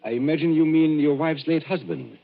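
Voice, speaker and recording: An actor playing a suave stage magician who speaks in an urbane, theatrical, mocking manner in an old radio drama. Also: male voice, a little high, big pitch swings, fairly quick. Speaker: magician and escape artist. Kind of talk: 1940s radio drama acting. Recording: tinny, lo-fi old radio broadcast.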